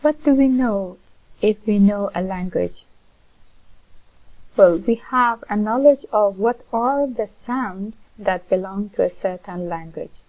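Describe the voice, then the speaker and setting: A woman with a Persian accent giving a recorded linguistics lecture in a measured, explanatory way, with frequent fillers. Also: medium pitch, dull audio.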